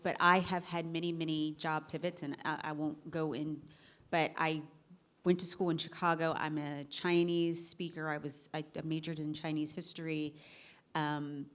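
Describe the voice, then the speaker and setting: A woman speaking on a career panel, chatty and quick, often restarting her sentences. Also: low-pitched. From Virginia, US. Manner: chatty, self-correcting, quick bursts. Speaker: panelist, managing director. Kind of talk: panel discussion.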